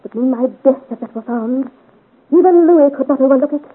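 A woman's voice: high voice, a little fast, slightly rough. A woman playing a haughty, scheming French noblewoman villain in a 1950s radio serial, imperious and theatrical in her commands. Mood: anguished brooding. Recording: muffled vintage radio serial recording.

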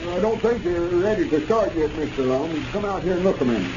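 An actor playing a dim-witted young country helper, blurting excitedly. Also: male voice, high-pitched, fairly quick. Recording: muffled, hissy 1930s radio transcription, off-mic.

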